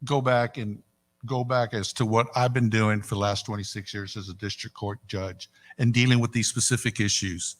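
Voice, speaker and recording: A middle-aged man answering a debate question as a candidate, emphatic and deliberate, restating his points. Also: medium pitch, fairly bright tone. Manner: emphatic, deliberate, repeats himself. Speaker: judicial candidate.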